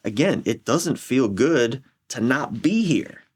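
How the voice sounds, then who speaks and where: A middle-aged American man explaining his views in a candid interview, halting and repeating phrases for emphasis. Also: mid-range voice, very animated, husky. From United States. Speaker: relationship coach, podcast guest. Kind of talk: reflective conversational interview explanation.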